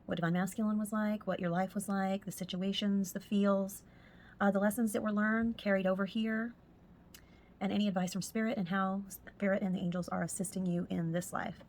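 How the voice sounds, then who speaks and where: A woman with a husky, hoarse voice doing a spiritual tarot reading as a casual monologue, speaking softly and sometimes close to a whisper. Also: medium pitch, level tone, brisk, a little hiss or hum. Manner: soft-spoken, drifts into whispers at times. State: hoarse, losing her voice. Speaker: tarot reader.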